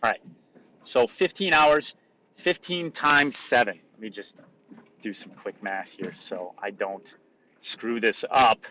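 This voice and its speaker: A man hosting a solo motivational podcast, raspy today, talking in an emphatic, repetitive coaching way. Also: medium pitch, takes it slowly, sharp tone, dull audio, slight background noise. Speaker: podcast host.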